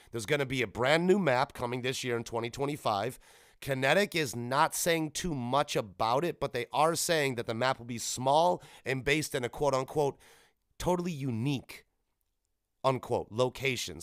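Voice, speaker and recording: A man's voice: medium pitch, fairly bright tone, no echo. Casual male podcaster, dry close sound. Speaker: podcast host. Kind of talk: casual gaming news commentary with personal anecdotes.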